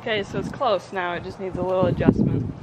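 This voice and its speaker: A woman's voice: on the low side, fairly even intonation, fast. Middle-aged woman, casual outdoor demonstrator, recorded on a camera mic with heavy wind noise. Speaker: host demonstrating tent setup. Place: grassy yard outdoors beside a house.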